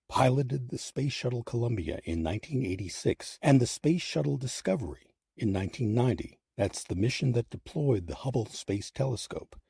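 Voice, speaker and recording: Husky, low-voiced male narrator. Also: mellow, no room sound, clean studio sound. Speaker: host and narrator. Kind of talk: scripted narration. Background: background music.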